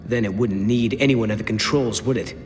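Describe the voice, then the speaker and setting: A man's voice: on the low side, a little fast, slight background noise, natural voice. Young game hero played by a man, reverberant. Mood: thoughtful. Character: determined young male hero, thoughtful and wry. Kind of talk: video game cutscene acting. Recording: reverberant game audio under loud music.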